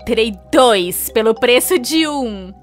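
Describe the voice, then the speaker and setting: A woman's voice: fairly high pitch, expressive, takes it slowly, soft background music. A woman voicing a gloating, wicked sea witch with theatrical, menacing taunts in a children's cartoon.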